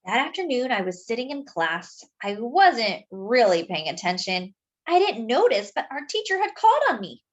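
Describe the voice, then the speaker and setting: A woman reading a children's picture book aloud, pausing to point out details in the pictures. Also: a little high, very animated, lo-fi sound. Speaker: children's storyteller.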